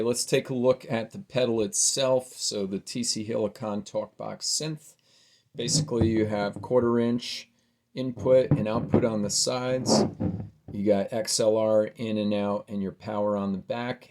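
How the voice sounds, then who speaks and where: A man's voice: fairly low pitch, warm tone. A man giving a casual, informal gear demo, explaining things step by step as a reviewer. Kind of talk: casual product demo talk. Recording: roomy, reverberant sound.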